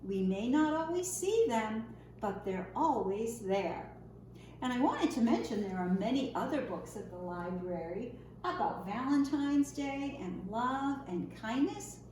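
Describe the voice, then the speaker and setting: A woman reading a picture book aloud for young children in an expressive, singsong storytime manner, often posing questions to her listeners. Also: on the high side, measured pace. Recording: roomy echo, faint background noise.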